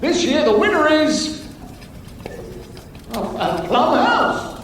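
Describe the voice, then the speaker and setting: A man's voice: very high-pitched, big pitch swings, fairly bright tone, slight background noise, exaggerated voice. Hapless male announcer, radio comedy; formal, hesitant, distant in a very reverberant hall. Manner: halting, formal. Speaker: master of ceremonies. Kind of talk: awards announcement in radio sitcom. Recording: distant public address in echoey hall.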